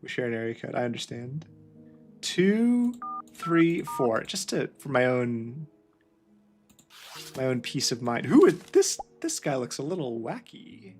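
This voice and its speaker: A man's voice: medium pitch, big pitch swings, unhurried, darkish tone, soft background music. A man playing a detective game, musing aloud and often trailing off mid-thought. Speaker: video game player. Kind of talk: gameplay commentary.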